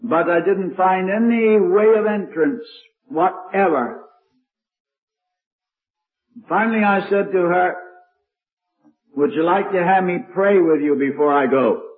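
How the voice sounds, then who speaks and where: A man's voice: sits high, slow pace, long pauses. An older man preaching with a strong, carrying voice, telling stories in an old-time sermon. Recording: tinny lo-fi archival recording.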